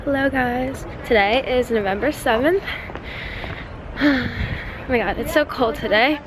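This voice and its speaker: A woman's voice: high-pitched, measured pace. Chatty young woman vlogging, noisy background. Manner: chatty, rambling, laughs often. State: congested, stuffy nose. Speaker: vlogger, exchange student. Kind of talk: casual vlog chat to camera. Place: dorm room, then outdoors on campus.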